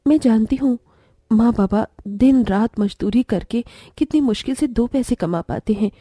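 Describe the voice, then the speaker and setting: A woman voicing a gentle, earnest young daughter-in-law from a poor family in a cartoon story. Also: a little high, normal speed, no room sound, studio quality, lightly acted. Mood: tender, caring. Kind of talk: Hindi cartoon story voice acting.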